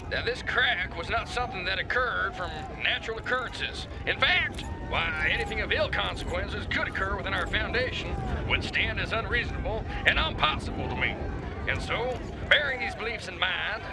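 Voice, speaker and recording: An actor playing a swaggering, pompous kingpin and demagogue in a satirical audio play. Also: male voice, sits high, animated, moderate pace. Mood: grandiose. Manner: pompous, grandiose speechifying.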